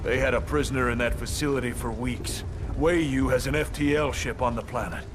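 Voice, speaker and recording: An actor playing a gruff, weary marine commander in a game, speaking bluntly and gravely. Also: male voice, mid-range voice, brisk, background noise, natural voice. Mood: weary, regretful. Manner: blunt, foul-mouthed, grave. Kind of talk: video game cinematic acting.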